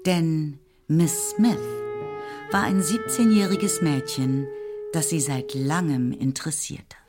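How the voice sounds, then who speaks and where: A woman narrating a period novel for a radio play in a measured, wry, literary manner. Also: on the low side.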